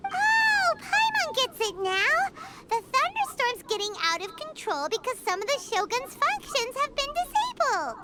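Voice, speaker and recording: A woman voicing a small, childlike, chatty fairy companion in a video game, with a squeaky, expressive delivery. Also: normal speed, hardly any pauses. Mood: eager. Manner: squeaky, expressive, refers to herself in third person. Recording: loud music behind the voice. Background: music.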